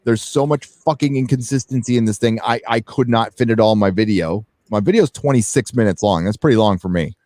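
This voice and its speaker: A man's voice: on the low side, brisk, few pauses, darkish tone, no room sound. Guy hosting a nerd chat show, rambles and swears a lot, dry close mic. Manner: profane, rambling with fillers and restarts. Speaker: podcast host. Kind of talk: casual chat.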